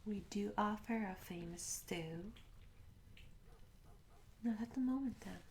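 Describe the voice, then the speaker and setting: A charming young tavern maid, acted by a woman in a fantasy ASMR roleplay. She speaks in a soft, breathy half-whisper, courteous and coy, with gentle storybook phrasing. The mic is close, with clear noise and small clicks around the voice. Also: mid-range voice, slow. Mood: welcoming, attentive. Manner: gentle, polite, old-fashioned storybook phrasing. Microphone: close ASMR microphone.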